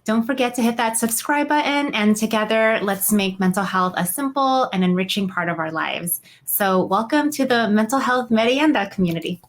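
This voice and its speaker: A woman's voice: a little high, moderate pace, few pauses. A woman in her forties co-hosting a chat show, talking casually with many "um" fillers. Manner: frequent "um" fillers, laughs easily. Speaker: podcast co-host, clinical social worker.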